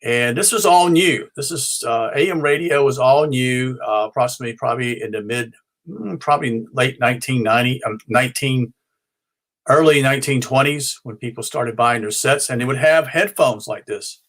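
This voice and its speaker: A middle-aged or older man from North Carolina with an American accent, giving an informal, unscripted explanation as a hobbyist host in a halting, rambling way, often correcting himself. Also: medium pitch, a bit bright, low-quality recording. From North Carolina, United States. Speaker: ham and shortwave radio hobbyist presenting his show.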